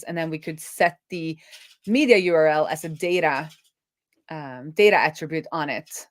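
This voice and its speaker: A woman talking casually as she live-codes, thinking aloud in a playful, animated manner. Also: lowish voice, no echo, clean studio sound. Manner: animated, playful, breaks into laughter and song. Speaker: live-coding streamer, web developer.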